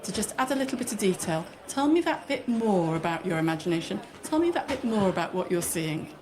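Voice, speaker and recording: A woman's voice: mid-range voice, sing-song, a little fast, noisy background. A woman guest talking earnestly on a radio show about storytelling workshops. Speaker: author and workshop leader, guest. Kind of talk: radio interview.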